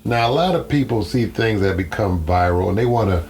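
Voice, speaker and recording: A man's voice: on the low side, expressive, a little fast, a little mellow. A man hosting an interview in a casual, chatty style. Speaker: interview host. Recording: faint background noise and hum.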